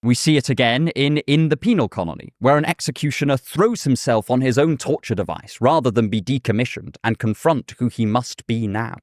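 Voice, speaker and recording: A man narrating a scripted video essay in a measured, thoughtful way. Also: medium pitch, lively intonation, no room sound, clean studio sound. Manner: measured, thoughtful, with a casual aside.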